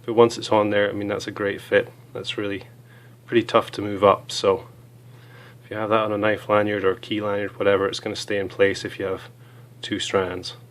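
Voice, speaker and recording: A hoarse man with a cold, chatting casually as he reviews small gear items, with many fillers. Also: on the low side, flat delivery, a little fast.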